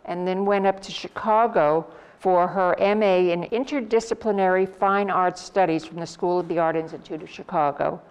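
A woman hosting an art salon, giving a measured, formal introduction of the evening's speaker. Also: fairly low pitch, clean tone. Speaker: host of art salon talks.

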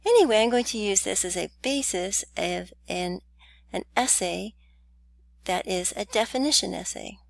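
A woman's voice: mid-range voice, lively intonation, moderate pace, vocal fry. A woman narrating a slide lecture on essay writing as an instructor, chatty with light laughs and fillers.

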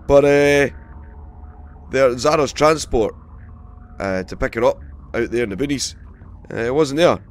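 A man with a cold, hoarse and gravelly, casually commentating a game he plays and swearing freely. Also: lowish voice. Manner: swears freely, rambling and chatty. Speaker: let's play host.

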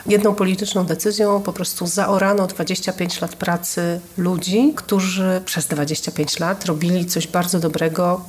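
A woman's voice: on the low side, level tone, normal speed, few pauses. A woman hosting a culture podcast, talking earnestly with frequent hesitations. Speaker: podcast host. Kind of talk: casual conversation about culture.

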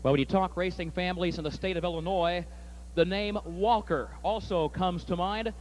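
A man announcing and calling a harness race at a state fair, his voice climbing and getting loud down the stretch, then interviewing a trainer. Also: sits high, steady tone, a little hiss or hum, rough recording. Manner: rapid, rising to shouts at the finish. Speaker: track announcer. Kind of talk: harness race call and winner's circle interview.

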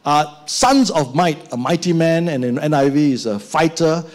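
A man's voice: on the high side, normal speed. An older man with a Malaysian English accent preaching a sermon on a church stage into a handheld mic, acting out little scenes as he goes. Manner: animated, acts out little scenes with put-on voices. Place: church stage with band instruments.

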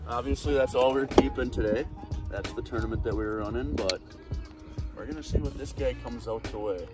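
A man's voice: mid-range voice, a little fast, loud music behind the voice, rough recording. Laid-back young man hosting a fishing vlog. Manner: laid-back, laughs often, joking understatement. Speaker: fishing channel host. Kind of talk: casual outdoor vlog narration. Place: on a boat on a lake, outdoors.

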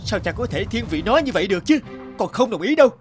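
A man playing a greedy, scheming older peasant brother in an animated folk tale, smug and falsely polite. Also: sits high, fast, faint music behind. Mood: indignant.

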